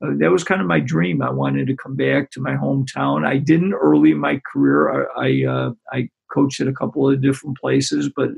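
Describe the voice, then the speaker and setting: A middle-aged man from Western New York, a basketball coach, talking in a rambling, halting way with many restarts. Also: medium pitch, little pitch movement, dull audio. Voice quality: gravelly, husky. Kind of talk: relaxed interview conversation.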